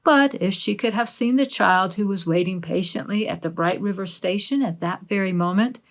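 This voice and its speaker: A woman's voice: medium pitch, normal speed. A woman reading a classic novel aloud as audiobook narration.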